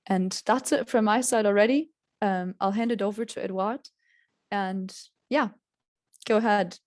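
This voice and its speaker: A woman hosting an online session, explaining a program clearly. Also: mid-range voice, measured pace, no room sound, studio quality. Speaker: host. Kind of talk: virtual presentation. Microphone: video call.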